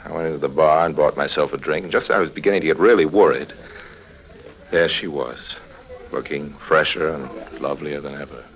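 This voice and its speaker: A man playing a wry, smooth-talking American con artist who also narrates his own adventures in 1950s radio drama style. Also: extremely low pitch, brisk, lo-fi sound. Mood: wry storytelling. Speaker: lead actor and first-person narrator. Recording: old 1950s radio recording.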